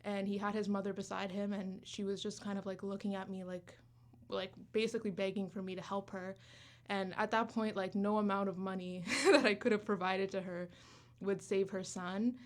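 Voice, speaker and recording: A woman's voice: medium pitch, level tone. Young woman in her 20s on a radio interview, earnest, says like and um a lot. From Uganda, raised in Canada. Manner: earnest, talks quickly with fillers like "like" and "um". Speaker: student club founder, guest. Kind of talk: radio interview, casual chat.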